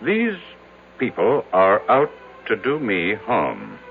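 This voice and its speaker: Brusque, deep-voiced radio-drama tycoon. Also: male voice, huge pitch swings, takes it slowly, gravelly, full character voice. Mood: agitated, suspicious. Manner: clipped, formal, imperious. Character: brusque, wealthy, skeptical businessman, impatient and humorless. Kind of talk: old-time radio drama acting. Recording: tinny vintage radio recording, background music.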